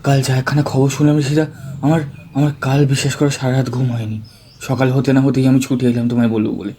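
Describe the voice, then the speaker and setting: Male actor for excitable student in audio thriller; mid-pitched, mellow, earnest, halting delivery. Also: husky, lightly acted. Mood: agitated. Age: young adult. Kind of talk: Bengali audio drama acting.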